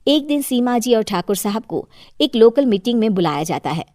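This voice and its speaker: A female storyteller reads a scripted moral tale. Her voice sits a little high, and the recording is dry and close. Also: sing-song, moderate pace, a little mellow, dry recording. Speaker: story narrator.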